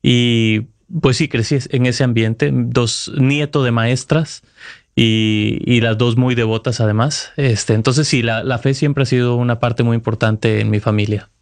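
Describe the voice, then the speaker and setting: A man's voice: medium pitch, steady tone, unhurried. A Costa Rican man in his thirties, a correspondent giving thoughtful, earnest answers in an interview. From Costa Rica. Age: 30s. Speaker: Vatican correspondent, guest.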